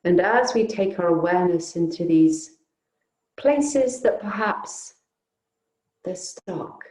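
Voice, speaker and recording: A woman teaching yoga nidra, guiding a relaxation in a soothing, unhurried, gently inviting way with long pauses. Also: lowish voice, lively intonation, reverberant, low-quality recording. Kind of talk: guided relaxation meditation.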